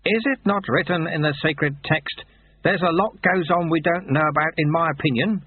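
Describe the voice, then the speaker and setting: A calm, folksy old sweeper monk, as a male narrator puts him on, soothing and homespun, his voice lifted high above the narrator's own. The sound is thin and phone-like, slightly husky. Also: moderate pace. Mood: reassuring. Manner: gently reassuring, folksy.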